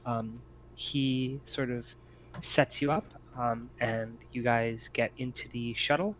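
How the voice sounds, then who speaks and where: A man improvising narration as game master of a tabletop roleplaying game, often halting as he thinks aloud. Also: mid-range voice, steady tone, a bit bright, slight background noise, poor audio.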